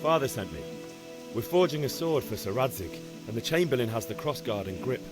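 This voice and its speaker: Cheeky young blacksmith's son played by a man, very expressive. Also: medium pitch, a little fast, over music, lightly acted. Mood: cheeky, eager. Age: young adult. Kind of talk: video game cutscene acting.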